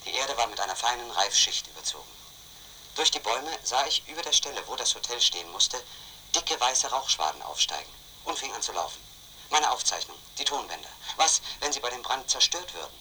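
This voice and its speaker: A man's voice: mid-range voice, very animated, fairly quick, bright, forceful tone, a little hiss or hum, poor audio. An actor playing a brooding, obsessed man who narrates his own investigation in a radio play, intense and questioning himself. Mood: brooding, agitated.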